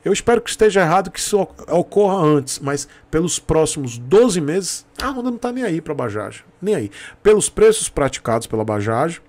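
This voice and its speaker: Male vlogger, thirties, Bahian Brazilian accent, slightly high voice, emphatic informal commentary, repeats points for stress, close desk mic. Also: big pitch swings, moderate pace, darkish tone. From Salvador, Bahia, Brazil. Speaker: YouTube host, motorcycle commentator. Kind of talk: informal opinion commentary to camera. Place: small home room with shelves, indoors. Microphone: desk microphone close in front.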